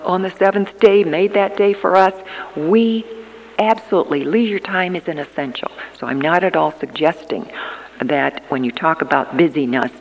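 A woman in her fifties giving an earnest talk at a church gathering, emphatic and often pausing with hesitations. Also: fairly low pitch. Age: 50s. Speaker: church conference speaker. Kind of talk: prepared talk on aging and ministry. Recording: long reverb of a large hall.

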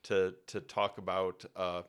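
A man's voice: low-pitched, little pitch movement, unhurried, smooth. A man hosting a podcast who asks his guest long questions, searching for words with frequent ums and repeats. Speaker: podcast host. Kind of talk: interview questions.

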